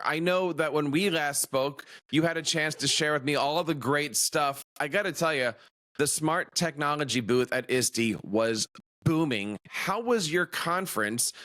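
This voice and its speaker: Upbeat man hosting an educational podcast. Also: fairly high pitch. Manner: upbeat, animated introductions. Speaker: podcast host. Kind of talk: podcast interview.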